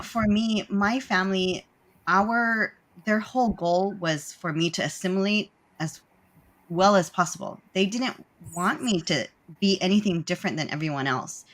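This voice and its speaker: A woman's voice: fairly low pitch, a bit bright. A woman whose first language was Tagalog, hosting a panel and jumping in with chatty asides. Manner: chatty, jumps in with quick asides. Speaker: podcast host.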